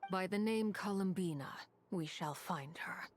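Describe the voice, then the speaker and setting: A woman voicing a composed, serious young woman in a fantasy video game, speaking in a measured, formal way. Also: lowish voice, heavy background noise, lightly acted. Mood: serious, resolute.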